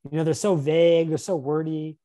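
A man's voice: a little high, little pitch movement, a little fast, dark tone. A man presenting a career webinar over a video call, upbeat and encouraging, often putting questions to a volunteer. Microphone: webcam on a video call.

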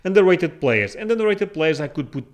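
A man whose first language is not English, hosting a soccer card channel and giving his opinions over slides, halting with many fillers and restarts. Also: sits high, sing-song.

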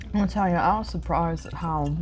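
Young woman, food vlog host, casual chatty mealtime talk, slightly low voice, noisy restaurant sound. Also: a little fast, a little mellow. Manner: chatty, upbeat, talks while eating. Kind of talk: casual mukbang chat. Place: restaurant booth. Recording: restaurant with clear background noise.

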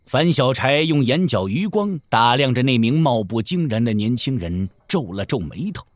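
Animated male wuxia narrator. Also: medium pitch, moderate pace, dry recording. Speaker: narrator of an audio drama. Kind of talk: dramatic scripted narration of a wuxia novel.

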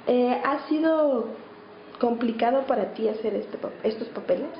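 A woman's voice: on the high side, moderate pace. A woman with a Mexican accent presenting and asking questions as an interviewer. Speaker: interviewer and reporter. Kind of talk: interview questions and scripted introductions. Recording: echoey, muffled, faint noise.

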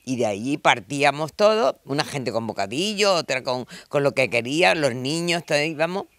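A woman's voice: a bass voice, expressive, moderate pace, a bit bright, dry recording. An elderly woman talks as an interview guest, digressing and repeating phrases for emphasis. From Marbella, southern Spain. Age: 70s or older. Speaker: interview guest, historian and novelist. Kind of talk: conversational reminiscing in an interview. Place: shaded garden patio, outdoors. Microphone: handheld reporter mic held close.

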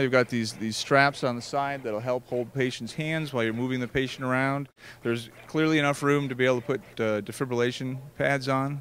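A man's voice: medium pitch, a bit bright. A man working as a product representative, giving a live step-by-step demonstration at a trade show booth. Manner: explanatory, step-by-step instruction.

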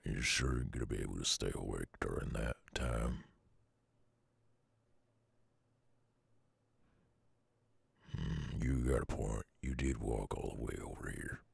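A man voicing a brooding, weary loner werewolf with a Southern US accent and a gruff, husky drawl, in an ASMR audio roleplay. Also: very deep voice, dry recording, full character voice. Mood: softening, amused. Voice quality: very gravelly, husky. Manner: slow, drawling, murmured.

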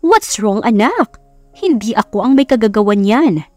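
A woman's voice: fairly high pitch, big pitch swings, normal speed, a little mellow, no room sound, soft background music. Woman acting a gushing, scheming rich mother-in-law. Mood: breezy, insistent.

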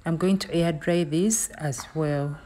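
A woman with a Ugandan English accent, chatting to viewers in a slow, easy way as she does the laundry at home. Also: low-pitched. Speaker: vlogger doing laundry. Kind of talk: casual narration while doing chores. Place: tiled laundry room and outdoor alley at home. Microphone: phone camera.

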